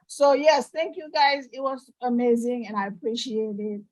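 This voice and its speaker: A woman from Haiti speaking in an excited, informal way as a participant in an online meeting. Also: on the high side, normal speed, no echo, poor audio.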